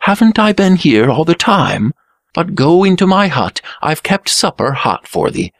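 Man voicing a dreamy, higher-pitched young prince. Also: very animated, slow, gravelly, no room sound, clean studio sound, exaggerated voice. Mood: cheerful. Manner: abstracted, gentle archaic speech. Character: mild, dreamy, cheerful young Blue Prince.

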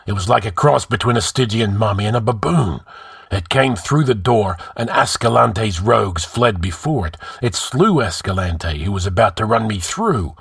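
A man performs a wrathful barbarian warrior king from a fantasy tale. The voice sits low and growls. He speaks bluntly and imperiously, with gruff grunting force. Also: animated, moderate pace, a bit bright, dry recording, studio quality, full character voice.

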